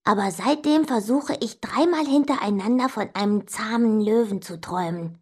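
A woman's voice: a little high, moderate pace, breathy edge. A woman voicing an indignant young child who tells stories about an older sister, expressively and straight to the listener. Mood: confiding, indignant. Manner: expressive, emphatic, addressing the listener directly. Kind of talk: scripted children's story narration.

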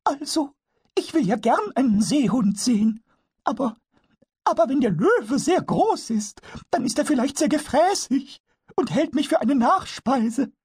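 A man voicing a small, mischievous kobold in a storybook reading, excitable and childlike. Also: extremely high pitch, unhurried, slightly rough, dry recording, studio quality, voice pushed up, full character voice. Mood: worried. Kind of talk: storybook character voice.

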